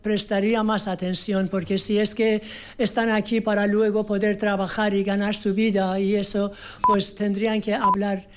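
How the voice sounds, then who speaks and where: A woman with a Persian accent speaks in a smooth, clear, mid-pitched voice. Her Spanish is calm and plain, and she pauses slightly over some words. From Iran. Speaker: volunteer Spanish teacher. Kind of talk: casual interview answers.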